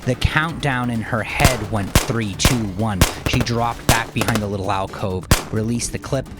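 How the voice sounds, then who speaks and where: A man with a gravelly voice reading fiction as a dramatic, intense and deliberate audiobook narrator. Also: lowish voice, heavy background noise. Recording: music behind the voice. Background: music.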